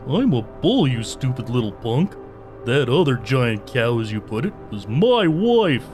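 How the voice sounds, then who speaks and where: A man's voice: mid-range voice, very animated, unhurried, a little mellow, faint music behind. A man voicing a vengeful, devoted talking bull in a melodramatic horror comedy audio drama. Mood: indignant.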